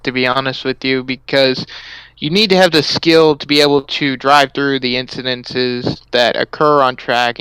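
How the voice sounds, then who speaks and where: Crisp dry-voiced casual color commentator. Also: male voice, mid-range voice, fairly bright tone, dry recording, rough recording. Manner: casual, hedging answers with "honestly" and "I think". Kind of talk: sim racing broadcast commentary.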